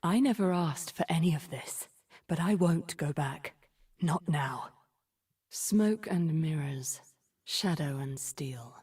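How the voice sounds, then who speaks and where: An actress playing a cunning, commanding sorceress in a video game, speaking with deliberate menace and a husky, breathy tone. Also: female voice, sits low, lively intonation, a little mellow, full character voice. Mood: resolute. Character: cunning, commanding sorceress leader of a secret order. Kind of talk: dramatic game voice acting.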